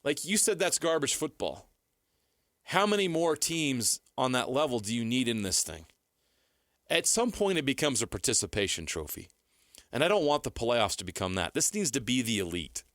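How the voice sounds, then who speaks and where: A man's voice: mid-range voice, very animated, moderate pace, a bit bright, no echo, studio quality. A man co-hosting sports radio, debating casually in an opinionated, emphatic way.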